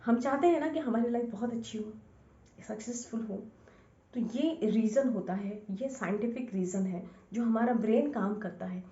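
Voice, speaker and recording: A woman's voice: a little high, normal speed, warm tone. Woman coaching in an echoey room. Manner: direct coaching address with repeated phrases and English terms mixed in. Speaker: instructor, scientist. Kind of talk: informal instructional talk. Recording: echoey room, dull lo-fi sound.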